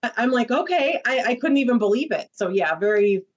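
A woman's voice: a little high, brisk, muffled recording. A woman in her thirties, an actress guest chatting casually on a podcast and acting out other people's lines as she tells stories. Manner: animated storyteller acting out quotes.

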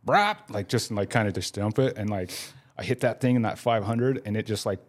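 A man's voice: lowish voice, a little fast. A man in his forties, a podcast guest, telling stories casually and rambling, with lots of "like" fillers. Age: 40s. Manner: rambling, heavy use of "like" fillers. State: laid up with a broken leg. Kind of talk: casual storytelling.

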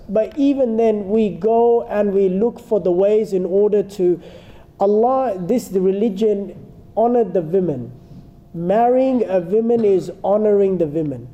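A man giving an earnest religious lecture to a congregation, explaining points step by step and dropping in Arabic phrases. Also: sits high. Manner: calls himself soft-spoken but firm today. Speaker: Islamic teacher.

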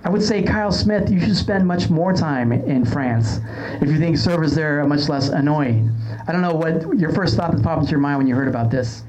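A man's voice: fairly high pitch, fairly quick, few pauses, a little mellow, low-quality recording. An American man hosting a casual podcast panel discussion, talking informally and putting questions to guests. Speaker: podcast host. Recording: clear background noise.

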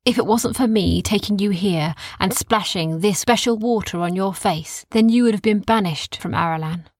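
Kind storybook unicorn, calm and quiet, read by a woman. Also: medium pitch, moderate pace, no room sound, studio quality, close to an everyday voice. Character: calm gentle male unicorn.

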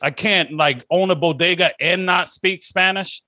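A young adult man co-hosting a podcast, chatting casually with profane jokes and quick silly voice bits. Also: sits high, sharp tone, no room sound, clean studio sound. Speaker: podcast co-host. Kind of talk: casual chat.

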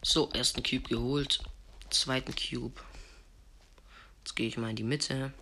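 Bright-voiced young man commenting on gameplay. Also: medium pitch, steady tone, a little slow, slight background noise. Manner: casual slangy running commentary, some hushed asides. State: has a cold. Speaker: gaming video host.

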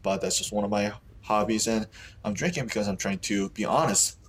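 A man's voice: on the low side, fairly quick, a little hiss or hum. A man in his thirties from South Korea who speaks English with a Korean accent, chatting as a podcast guest in a hesitant way full of fillers and restarts. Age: 30s. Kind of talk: casual interview chat.

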